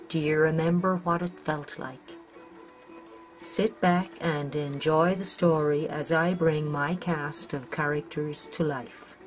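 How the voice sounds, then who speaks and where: Irish female storyteller for children's narration, unhurried, slightly low register, faint music bed. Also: poor audio. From Ireland. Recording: music under the voice.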